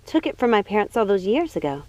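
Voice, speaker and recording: A woman voicing a gentle, sweet young woman in an audiobook, speaking in an earnest, reassuring manner. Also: medium pitch, sing-song, fast talker, dry recording, lightly acted, poor audio. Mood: eager, earnest. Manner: soft-hearted, earnest, reassuring. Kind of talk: audiobook character voice.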